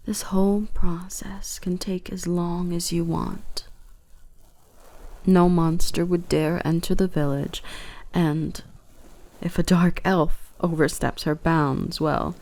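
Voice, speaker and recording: A woman plays a gentle dark elf woman in an intimate ASMR roleplay. Her voice is lowish and soft, with a touch of gravelly fry, often dropping into breathy whispers. She speaks very slowly, soothing and tenderly possessive. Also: flat delivery. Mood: tender, reassuring.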